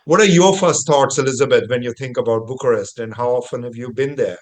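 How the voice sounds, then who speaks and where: A middle-aged man hosting a travel podcast, talking in a measured, explanatory, conversational way. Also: medium pitch. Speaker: podcast host.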